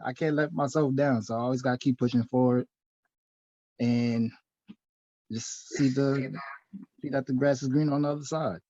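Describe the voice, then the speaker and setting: A man answering interview questions about his clothing line in a soft-spoken, halting way, laughing between thoughts. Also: mid-range voice, fairly even intonation, no room sound. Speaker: interview guest, clothing designer. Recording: dry, dull lo-fi audio.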